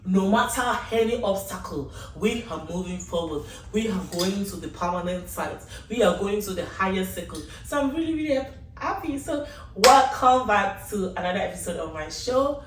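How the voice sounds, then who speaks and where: A woman's voice: mid-range voice, animated, moderate pace, few pauses. A woman hosting a casual talk show, exuberant and sing-song, laughing often. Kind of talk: casual chat. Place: indoor room with a sofa. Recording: echoey room, distant mic, faint hiss.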